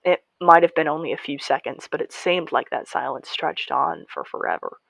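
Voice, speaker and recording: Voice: medium pitch, moderate pace, vocal fry, fairly bright tone, dry recording, rough recording. A narrator telling a first-person story in a podcast-style audio drama, confiding and dramatic. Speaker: narrator in character.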